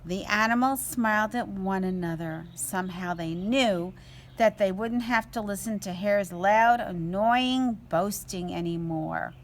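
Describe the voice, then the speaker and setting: A woman reading a children's story aloud as a storyteller, in an expressive, deliberate way. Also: mid-range voice, slight background noise.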